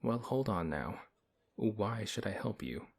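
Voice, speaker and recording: A man playing a big, principled paladin in a fantasy audio roleplay, speaking in a stern, measured and dryly skeptical way. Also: on the low side, very animated, creaky, exaggerated voice. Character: big strong male paladin, principled, wary, reluctantly helpful. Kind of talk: ASMR audio roleplay acting.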